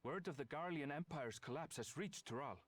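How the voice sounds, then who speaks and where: A man's voice: a little high, a little fast, a bit bright, no room sound. A man voicing a proud, aloof companion in a video game, with a formal, dry, disdainful manner. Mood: grave. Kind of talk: video game cutscene acting.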